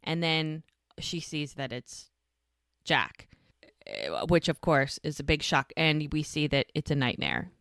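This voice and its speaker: Low-voiced woman recapping episodes. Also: measured pace, smooth, no echo, studio quality. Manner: animated retelling, acts out characters' lines. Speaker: podcast co-host. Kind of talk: casual rewatch recap chat.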